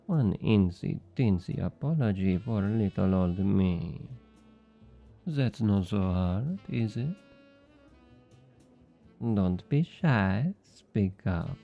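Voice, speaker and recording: An actor playing a smug, flirty thief with a French accent, teasing and coaxing in an intimate ASMR roleplay. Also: male voice, fairly low pitch, animated, slow, gravelly, pauses often, lo-fi sound, soft background music, exaggerated voice. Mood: flirtatious taunting. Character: obnoxiously flirty, smug male thief and information broker.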